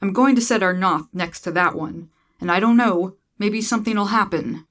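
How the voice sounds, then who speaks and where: A woman's voice: mid-range voice, moderate pace, fairly bright tone, no room sound, clean studio sound, natural voice. A woman voicing a smug, grudging male tribe leader and rival brother in a sci-fi story, matter-of-fact and emphatic. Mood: tentative. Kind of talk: dramatised sci-fi story reading.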